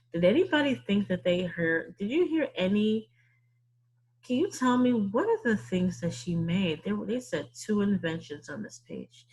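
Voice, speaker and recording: A woman teacher leading an interactive read-aloud for young children, playful and animated, often asking questions, with a slightly husky voice. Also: fairly low pitch, low-quality recording.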